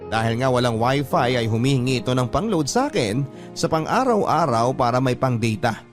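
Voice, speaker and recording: A man's voice: medium pitch, expressive, brisk, lightly acted. Male narrator as resigned storyteller over music. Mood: resigned, reflective. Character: resigned, long-suffering working partner telling own love story. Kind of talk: scripted first-person radio drama narration.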